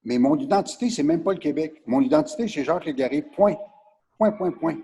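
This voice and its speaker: Quebec man as podcast guest, soft dark husky voice, insistent, repeats words to make his point. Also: on the high side, animated. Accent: Quebec French. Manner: emphatic, insistent, halting repetitions. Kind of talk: opinionated discussion.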